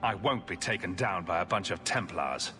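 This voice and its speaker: A man playing a steady, protective heroic leader in a video game, speaking in a calm, resolute manner. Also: low voice, moderate pace. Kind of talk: dramatic video game cutscene acting. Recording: long reverb, game music behind.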